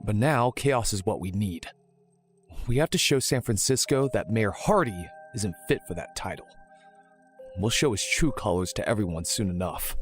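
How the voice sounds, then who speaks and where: An animated man playing a vigilante leader with a middling pitch, driven by revenge and protective of family. It is audio crime drama, with faint music underneath. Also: normal speed, lightly acted. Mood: vengeful resolve.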